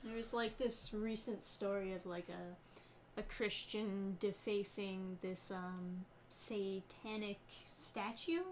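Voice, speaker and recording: Woman talking real slow, dry little comments, faint background hum. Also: mid-range voice, steady tone. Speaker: podcast co-host. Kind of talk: casual chat.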